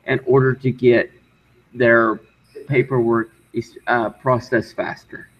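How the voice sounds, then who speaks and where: A man arguing his point in casual online talk, halting and insistent, often repeating himself. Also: mid-range voice, little pitch movement. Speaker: discussion guest. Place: online group voice call. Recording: dull, noisy voice-call audio.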